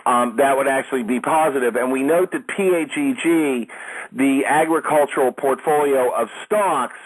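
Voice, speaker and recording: Guy doing unscripted market commentary, kinda raspy husky voice, average pitch, matter of fact advice. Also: normal speed, poor audio. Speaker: market analyst hosting a conference call.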